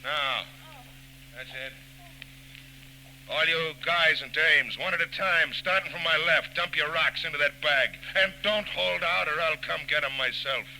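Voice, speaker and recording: A tough American stick-up gangster from a 1940s radio crime show. His voice is high for a man and very forceful, with a gravelly bite. He barks slangy, ungrammatical threats. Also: a little slow, full character voice. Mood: menacing, commanding. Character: tough, menacing stick-up gangster barking orders. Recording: old noisy 1940s radio recording.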